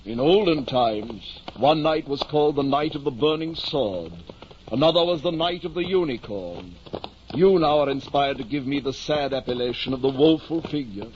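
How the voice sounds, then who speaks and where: A man's voice: medium pitch, normal speed, close to an everyday voice. A muffled, slightly noisy 1950s radio drama recording of a man playing an ageing, deluded knight-errant. He declaims in lofty archaic phrases, pompous and solemn, as if every word were a proclamation of chivalry. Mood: wounded, proud. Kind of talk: 1950 old time radio drama acting.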